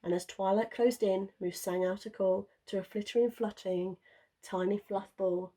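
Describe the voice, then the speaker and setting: Woman teacher, bedtime storybook reader, soft husky tone, steady rhythm. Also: mid-range voice, normal speed. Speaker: primary school teacher. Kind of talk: bedtime story reading in rhyme.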